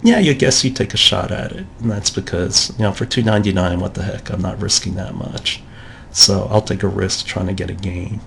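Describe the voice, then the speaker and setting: A man's voice: deep voice, a little fast, gravelly, a bit bright, a little hiss or hum. A man giving a recorded college lecture in a conversational way, acting out the thoughts of shoppers in quotes.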